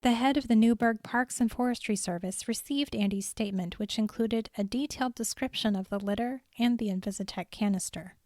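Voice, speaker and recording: A woman's voice: on the high side, a little fast, few pauses, a little mellow, dry recording, studio quality. A woman reading scripted fiction as a storyteller, in audiobook narration.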